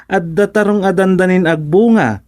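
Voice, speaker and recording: A man's voice: sits high, normal speed, darkish tone, close to an everyday voice. A man playing a wry, joking villager in an Ilocano radio comedy drama, with an Ilocano accent. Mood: curious, teasing.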